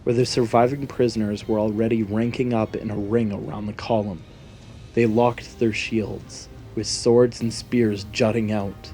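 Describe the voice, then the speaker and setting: A man's voice: on the low side, little pitch movement, unhurried, warm tone. A man narrating a scripted fantasy story in a dramatic storytelling manner. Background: background music.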